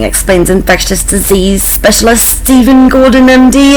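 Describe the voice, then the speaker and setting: A woman from Canada with a voice pitched on the high side chats and reads aloud in an informal vlog. Her delivery is casual and offhand, with light music in the background. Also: low-quality recording. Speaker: vlogger sharing a fan song and reading news.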